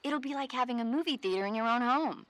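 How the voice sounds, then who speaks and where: A woman's voice: a little high, quick pace, fairly bright tone, no room sound, lightly acted. An actress playing a chatty, earnest female support officer and film buff in a video game, explaining things conversationally. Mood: enthusiastic.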